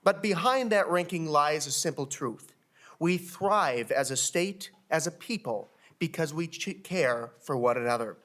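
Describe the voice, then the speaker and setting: Young man, student leader, earnest speechlike delivery, hearing-room desk mic, somewhat high voice. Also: expressive, unhurried, fairly bright tone. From Minnesota. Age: young adult. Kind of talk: prepared testimony at a hearing. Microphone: desk microphone.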